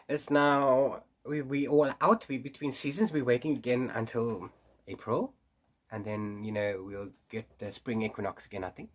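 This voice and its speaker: Guy doing a chatty book vlog, stuffy sniffly nose, keeps restarting and laughing a bit. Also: a little high. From South Africa. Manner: chatty and rambling, frequent restarts and little laughs. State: congested from hay fever, sniffly. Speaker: book vlogger. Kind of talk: casual chat to camera.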